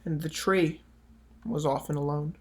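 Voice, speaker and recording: Male storyteller for children's read-aloud, measured picture-book narration with soft endings. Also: a little high, steady tone. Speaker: storyteller reading aloud for a library.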